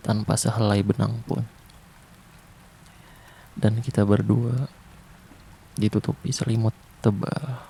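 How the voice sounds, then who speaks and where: A young man doing a soft, intimate, teasing ASMR boyfriend roleplay. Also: fairly low pitch, level tone, takes it slowly, vocal fry, pauses often, slight background noise.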